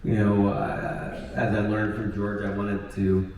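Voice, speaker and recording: A man speaking calmly and thoughtfully on a convention panel. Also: on the low side, slow pace, noisy background. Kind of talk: panel talk. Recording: echoey hall from far off.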